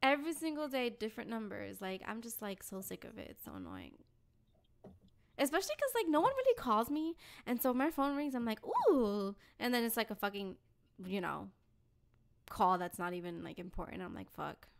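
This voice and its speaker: Swearing young-sounding woman, lively voice. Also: fairly high pitch, gravelly. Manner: blunt, swears freely, quick to laugh. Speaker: podcast co-host. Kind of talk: casual podcast chat.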